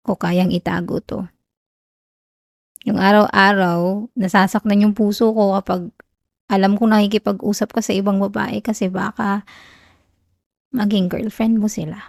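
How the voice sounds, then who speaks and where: A young Filipino woman acting a whiny, shy tsundere college girl confessing love in a soft, hesitant ASMR roleplay. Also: medium pitch, creaky, dry recording, clean studio sound.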